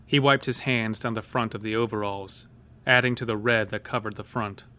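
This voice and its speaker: A man's voice: lowish voice, steady tone, moderate pace, bright, forceful tone. Man narrating a scripted horror story.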